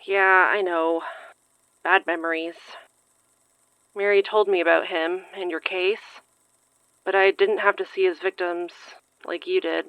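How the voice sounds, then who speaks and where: The voice is a frayed, worried young woman in a fiction podcast. She is sarcastic and exasperated, with a sharp tongue. The recording is very dry and a little dull. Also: lowish voice, little pitch movement, measured pace, long pauses, clear, resonant tone, no echo. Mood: weary, wry. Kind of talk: audio drama acting.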